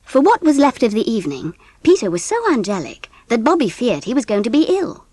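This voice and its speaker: A woman narrating a children's story audiobook in an expressive, scripted style. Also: fairly high pitch, brisk, dry recording.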